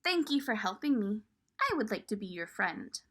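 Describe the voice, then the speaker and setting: A woman's voice: mid-range voice, big pitch swings, normal speed, a bit bright, slightly rough. Woman reading as timid, earnest little storybook fellow. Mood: grateful. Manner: earnest, simple storybook phrasing. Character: timid, worried male blue storybook character, grateful and friendly.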